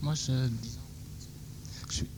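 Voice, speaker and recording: Man, mellow, hesitant. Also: medium pitch, steady tone, measured pace, a little hiss or hum. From Vancouver, Canada. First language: English. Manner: hesitant, many fillers like "disons". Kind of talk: audience question at a talk.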